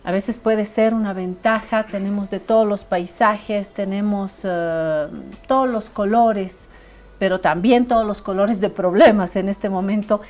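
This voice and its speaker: A woman's voice: mid-range voice, steady tone, unhurried, a little mellow. A woman hosting a live TV talk show, chatty, steering the interview and calling the breaks.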